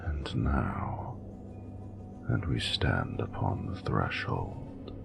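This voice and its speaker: A man playing an ancient, tender male dragon in a romantic audio roleplay, speaking formally and poetically in an intimate, breathy rumble. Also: heavily acted. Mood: tender, regretful. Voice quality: very deep, gravelly, breathy rumble. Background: music.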